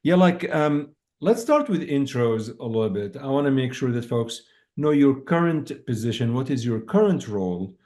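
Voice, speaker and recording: A man's voice: medium pitch, big pitch swings, moderate pace, a little mellow. Chatty man hosting a podcast. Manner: loose and chatty, with "like" and "um". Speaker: podcast host. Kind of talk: casual interview chat.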